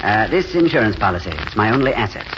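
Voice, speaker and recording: An actor playing a courteous, wistful, idealistic drifter in a 1940s radio drama, speaking in an eloquent, genteel way. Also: male voice, fairly low pitch, very animated, fast talker. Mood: polite, earnest. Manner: eloquent, genteel, hesitant openings. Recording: dull, faintly noisy 1940s radio recording.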